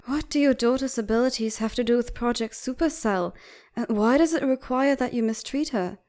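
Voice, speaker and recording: A woman acts out a female bird doctor with a somewhat high voice. She is outspoken and protective, arguing insistently in articulate, reproachful phrases. The delivery is dramatic comic fandub acting. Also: moderate pace, a little mellow, lightly acted. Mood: indignant.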